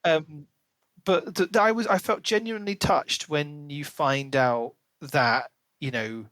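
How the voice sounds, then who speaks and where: A man with a slightly higher-pitched voice talks casually as a podcast host. He reviews in an animated, conversational way, slipping into asides. The sound is dry, with very little room to it. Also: measured pace, no room sound. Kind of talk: casual book review discussion.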